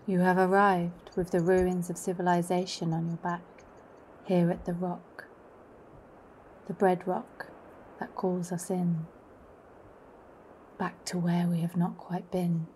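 A woman narrating a poetic, meditative guided journey in a hushed, solemn, unhurried manner. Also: fairly low pitch, level tone, slight background noise, rough recording.

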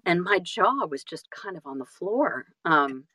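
Woman storyteller with a slightly low voice. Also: sing-song, measured pace, pushed and bright, slightly rough. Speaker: guest, audiobook narrator and actress. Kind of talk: casual interview storytelling.

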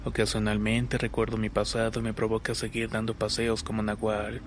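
A man narrating a scripted scary story in a measured, serious reading. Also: fairly low pitch, monotone, a little hiss or hum. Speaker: narrator of listener-submitted horror stories.

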